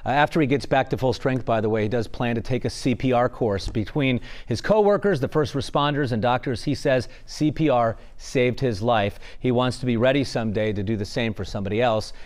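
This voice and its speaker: A man's voice: mid-range voice, fairly quick, hardly any pauses. A male news anchor in a TV studio giving a conversational wrap-up.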